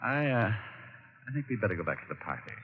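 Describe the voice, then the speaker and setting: An actor playing a wry, tough American private detective in an old radio drama, speaking in a laconic, offhand way. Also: male voice, on the low side, sing-song, fast talker. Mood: wry, relaxed. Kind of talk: 1940s-50s radio drama acting and first-person narration. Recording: dull old radio recording with music.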